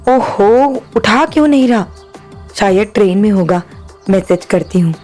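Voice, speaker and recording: A woman's voice: mid-range voice, lively intonation, a little slow, a little mellow, faint music behind, lightly acted. A woman playing an anxious young wife who talks to herself in worried, pleading tones. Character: young married woman, anxious about her lover.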